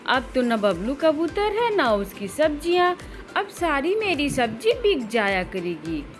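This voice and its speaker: A woman's voice: high-pitched, sing-song, takes it slowly, faint music behind, full character voice. A woman voicing a scheming, jealous male crow shopkeeper in a sly, smug manner for a cartoon story. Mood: gloating. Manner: gloating, slyly false sympathy.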